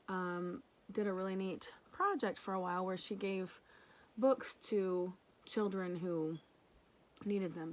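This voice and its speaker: Female teacher, mid-pitched voice, affectionate and simple, storybook read-aloud with casual asides for young kids. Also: rough recording. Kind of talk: picture-book read-aloud with casual explanations.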